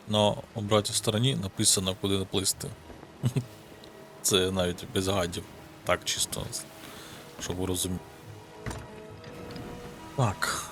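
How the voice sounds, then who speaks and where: A man with a Ukrainian accent giving casual gameplay commentary, offhand and muttering to himself, swearing casually. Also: on the low side. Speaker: gaming video host. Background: game music.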